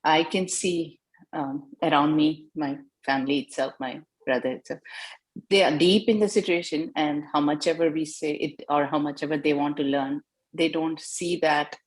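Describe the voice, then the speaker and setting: A woman speaks up in a group video call to share an earnest, rambling comment with her teacher. Her voice sits quite low for a woman. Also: low-quality recording. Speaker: student in the group.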